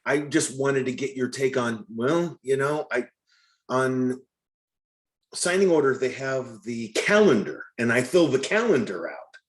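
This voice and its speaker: A man with an average-pitched voice takes part in an online class discussion. He explains his situation haltingly and conversationally, circling toward his question. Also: lively intonation. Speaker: trainee asking a question. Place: online group call.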